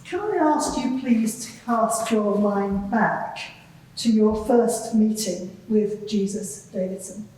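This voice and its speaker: A woman playing an advocate who questions a witness in a formal, courteous, measured way in a courtroom drama. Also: medium pitch, dark tone, close to an everyday voice. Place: large courtroom. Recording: echoey courtroom, distant microphone.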